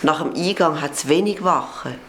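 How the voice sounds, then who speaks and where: A woman with a Swiss German accent playing a secretive informant who gives directions in a hushed, conspiratorial whisper in a children's radio play. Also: low-pitched, normal speed, roomy sound, slight background noise. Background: music.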